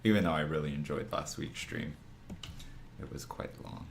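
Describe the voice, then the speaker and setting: Raspy, very deep-voiced man, groggy. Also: moderate pace, a little hiss or hum. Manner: rambling, full of restarts and fillers. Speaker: art streamer teaching figure design. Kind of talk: casual live-stream talk while drawing.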